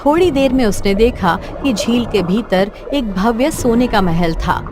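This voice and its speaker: A woman's voice: fairly high pitch, animated, measured pace. A woman reading scripted narration for a cartoon fairy tale as the storyteller. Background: music.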